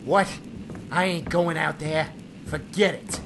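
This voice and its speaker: A man's voice: on the high side, steady tone, slow, gravelly, background noise. An actor playing an uneasy, grumbling, superstitious airfield controlman in an old time radio drama. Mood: alarmed. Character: nervous, superstitious airfield controlman, older-pal type, reluctant. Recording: loud music and storm effects behind voice.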